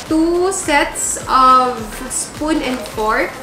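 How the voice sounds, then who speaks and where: High-voiced chatty Filipina vlogger. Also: female voice, drawn-out words, echoey room, poor audio. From Philippines. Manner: chatty, mixing Tagalog and English. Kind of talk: casual unboxing vlog. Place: living room at home.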